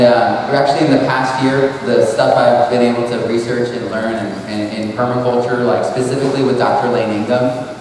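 A man's voice: medium pitch, darkish tone, recorded from a distance, a little hiss or hum. Earnest man talking in an echoey hall. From New England. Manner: rambling, restarts often, conversational and earnest. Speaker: speaker at an expo. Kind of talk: casual presentation. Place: large hall with audience. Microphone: handheld mic, far camera.

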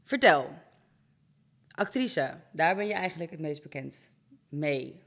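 A woman's voice: sits low, lively intonation, slow pace, creaky. A young adult woman hosting a conversational interview and putting questions to her guest. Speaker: interviewer, host. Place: hair salon, indoors. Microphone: studio microphone on a stand, close.

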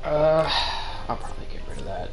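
A man's voice: a little high, animated, a little fast, a little mellow. A man casually chatting as he streams a video game, swearing freely. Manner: casually profane. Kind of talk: casual gaming chat with co-host. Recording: roomy echo, music behind. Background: video game music.

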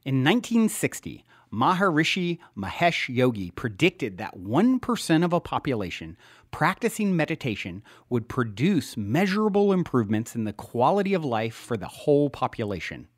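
A man reading his own nonfiction book aloud as scripted narration, measured and emphatic, with dramatic pauses. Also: mid-range voice, sing-song, no room sound, clean studio sound.

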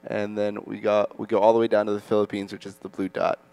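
A man's voice: lowish voice, level tone, fast talker, darkish tone, no echo. A young man casually moderating a student panel on an auditorium stage, upbeat and full of fillers. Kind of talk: moderating a school chapel panel.

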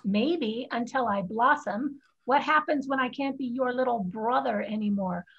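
Woman reading cheeky young Chinese girl, husky. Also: fairly high pitch, normal speed. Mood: urgent, scheming. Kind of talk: staged play reading. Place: home room, video call. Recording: muffled video-call audio.

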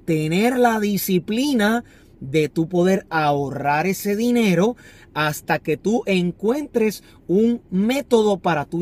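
Man, 30s, fairly high voice, earnest finance coach filmed by phone from a car seat. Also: darkish tone. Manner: earnest, instructive, repeats key phrases. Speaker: finance vlogger. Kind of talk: motivational talk to camera. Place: inside a parked car. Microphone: phone camera on the dashboard.

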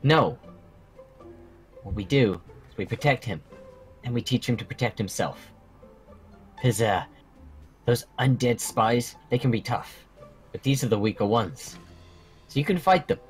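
A man's voice: medium pitch, expressive, a little slow, long pauses, lightly acted. A man voicing a stern, protective dragon guardian who instructs young fighters solemnly and formally in a Minecraft roleplay. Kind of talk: improvised Minecraft fantasy roleplay. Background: music.

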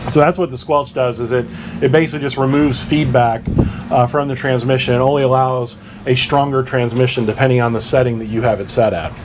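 A man's voice: medium pitch, fairly even intonation, a little fast. A man giving an informal instructional explanation as a presenter, plain-spoken and working through things step by step. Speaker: instructor presenting a boat radio guide. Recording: clear background ambient noise.

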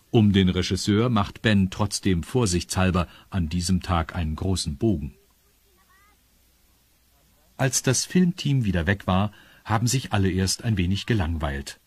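Male storyteller, deep and very raspy, dry close sound, narrating a children's audio drama. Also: a little mellow, no room sound.

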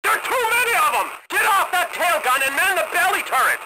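An actor playing a tense World War II bomber crewman, shouting urgent clipped military callouts in a war video game. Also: extremely high pitch, sing-song, brisk, noisy background. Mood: frantic. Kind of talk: video game combat radio chatter.

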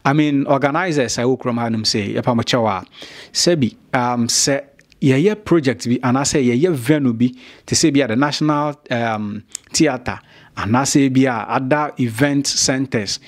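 Ghanaian male host, 30s, mid-pitched, chatty bilingual commentary to camera. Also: normal speed. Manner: mixes Twi and English, talks straight to viewers. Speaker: showbiz news host. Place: small indoor studio. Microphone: studio mic on stand.

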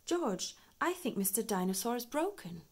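A lively little girl piglet with a raised, expressive voice, acted out by a woman. She sounds inquisitive, straight out of a picture book. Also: normal speed, breathy edge, full character voice. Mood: concerned. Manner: high, expressive.

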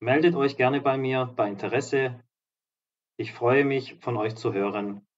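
A slightly hoarse man giving an upbeat, promotional walkthrough of apartments as a real estate agent and vlogger. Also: mid-range voice, unhurried, dull audio. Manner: upbeat, promotional, walks through rooms while talking. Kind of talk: property tour narration. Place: apartment complex in a seaside town, indoors and outdoors.

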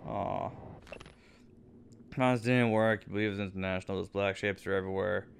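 A man's voice: low-pitched, steady tone, moderate pace. A man giving casual, playful video game commentary with jokey, theatrical asides. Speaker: gamer, let's play commentator. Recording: clear game noise behind voice. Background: video game sounds.

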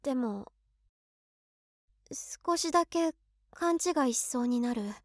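An actress playing an overworked, excitable office woman in her twenties who is a devoted fan, musing aloud expressively in a voice drama. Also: sits high, slow, dry recording, clean studio sound. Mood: shy, lovestruck. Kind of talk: Japanese situation voice drama monologue.

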